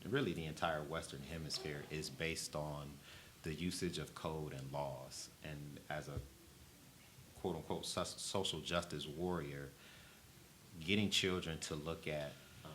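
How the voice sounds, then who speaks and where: A man who teaches reading and writing, talking on a panel in a thoughtful, measured way. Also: extremely low pitch, fairly bright tone, slight background noise. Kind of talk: panel discussion.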